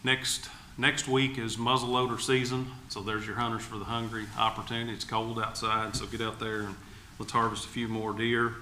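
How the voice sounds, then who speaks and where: A man's voice: lowish voice, flat delivery, moderate pace, bright, forceful tone. A man giving enthusiastic remarks as an agency official at a public meeting. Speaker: agency commissioner. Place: indoor public meeting room. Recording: echoey meeting room, faint background noise.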